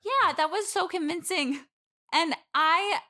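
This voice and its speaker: A woman's voice: very high-pitched, slow pace, bright, forceful tone, full character voice. A woman playing an overeager, clingy single bridesmaid, gushing and chatty in an improvised comedy interview. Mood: wistful, needy. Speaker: interview guest.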